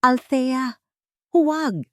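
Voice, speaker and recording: Urgent romantic hero read by a female narrator, protective, desperate, raised high in alarm. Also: expressive, extremely slow, no echo, clean studio sound, full character voice. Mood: fearful. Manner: urgent, shouting.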